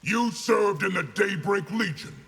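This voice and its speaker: A zealous military general from a fighting game. The voice is raspy with heavy grit and sharp force, and it moves slowly and deliberately. The manner is stern and full of scorn. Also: male voice, high-pitched, exaggerated voice. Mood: scornful. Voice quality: gravelly, hard-edged. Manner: imperious, forceful. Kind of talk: video game fighting character dialogue.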